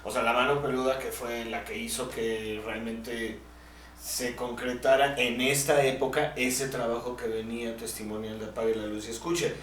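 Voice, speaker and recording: A man's voice: mid-range voice, flat delivery, moderate pace, fairly bright tone. Distant, echoey Mexican man chatting. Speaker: podcast co-host. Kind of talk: casual panel discussion. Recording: distant, echoey, faintly noisy room recording.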